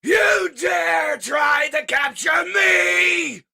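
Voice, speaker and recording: Robot tyrant: imperious, furious, forceful and loud, bellowing defiance in an audio comic dub. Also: male voice, extremely high pitch, vocal fry, some chatter behind, pitched above the performer's own voice, heavily acted. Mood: outraged, demanding. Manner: bellowing, drawn-out, imperious demands.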